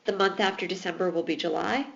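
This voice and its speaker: A woman's voice: on the low side, fairly even intonation, fast, creaky, fairly bright tone, highs cut off. A woman teaching math in a recorded lesson, explaining patiently while losing her voice. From Alberta, Canada.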